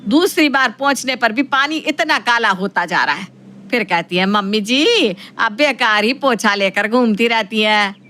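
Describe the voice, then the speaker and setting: A woman's voice: on the high side, measured pace, pushed and bright. A woman playing a fussy, middle-aged mother-in-law in an audio story, obsessed with cleaning and prone to nagging. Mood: scolding, irritated. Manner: scolding at family, falsely polite to guests. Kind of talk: Hindi audio story acting.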